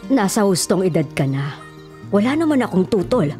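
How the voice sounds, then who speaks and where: A woman's voice: medium pitch, very animated, moderate pace, dark tone, lightly acted. A woman playing a stern middle-aged mother, reproachful and admonishing in a radio melodrama. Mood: stern, concerned. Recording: dramatic music under the voice.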